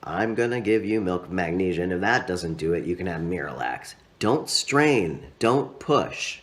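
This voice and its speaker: A man voicing a brisk female doctor in an audiobook, clinical and instructing as she lectures a patient. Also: low voice, expressive.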